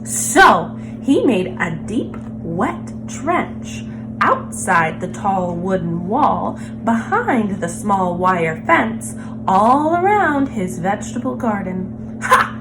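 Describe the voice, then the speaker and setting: Female read-aloud host for kids. Expressive, very animated delivery, drawn-out key words, relaxed pace. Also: medium pitch, over music, poor audio. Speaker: story time host. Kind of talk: animated children's picture book read-aloud.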